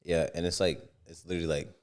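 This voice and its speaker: Very deep-voiced young man, lively chat. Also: fast talker. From United States. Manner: animated, conversational, slangy. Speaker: podcast co-host. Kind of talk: casual podcast chat.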